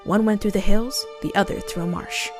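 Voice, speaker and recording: A woman's voice: mid-range voice, moderate pace. A woman reading scripted narration for a fantasy storybook. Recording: game music under the voice. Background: fantasy game music.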